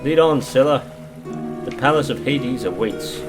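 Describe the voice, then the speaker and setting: Casting: male actor as eccentric, polite gentleman doctor for audio drama, flippant wit, mid-pitched lively delivery. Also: measured pace, loud music behind the voice, lightly acted, rough recording. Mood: wry, breezy.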